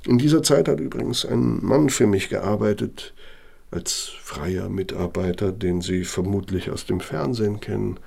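Self-righteous older architect, deep husky voice, measured, proud, bitter, radio drama. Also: male voice, mellow, heavily acted. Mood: self-satisfied. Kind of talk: naturalistic radio play monologue and dialogue.

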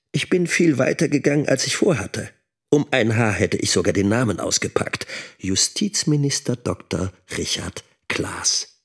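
Actor as gravelly, husky brooding middle-aged schoolteacher. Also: male voice, lowish voice, full character voice. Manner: reflective, self-questioning. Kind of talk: radio drama interior monologue.

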